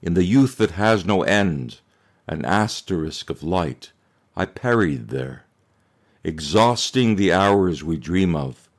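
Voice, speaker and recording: A man, a poet, reciting verse in a solemn, measured manner. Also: low voice, animated. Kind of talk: poetry recitation.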